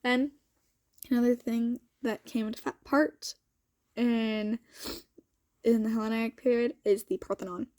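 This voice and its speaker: A woman's voice: a little high, fairly even intonation, unhurried, long pauses, darkish tone, no room sound. A young woman with a sniffly cold, chatting informally and thinking aloud as she presents a student vlog. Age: young adult. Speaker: student vlogger. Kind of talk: casual chatty vlog walkthrough.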